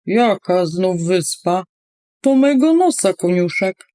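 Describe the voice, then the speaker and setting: A woman's voice: lowish voice, very animated, takes it slowly, dark tone, dry recording, clean studio sound. A woman voicing a huge, grumpy whale who scolds indignantly in a children's storybook reading. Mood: indignant scolding.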